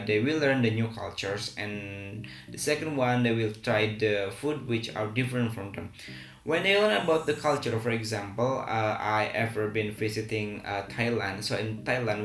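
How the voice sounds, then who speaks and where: A man's voice: on the low side, a bit bright. Indonesian man, hesitant IELTS practice talk. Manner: hesitant, many "uh" fillers, informal and friendly. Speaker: English teacher. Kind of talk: IELTS speaking practice monologue. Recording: echoey room with faint music.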